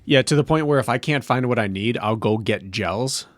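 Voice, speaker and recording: A man co-hosting a podcast in casual chat, relaxed and wry, sometimes searching for words. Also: mid-range voice, moderate pace, no room sound, studio quality. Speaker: podcast co-host.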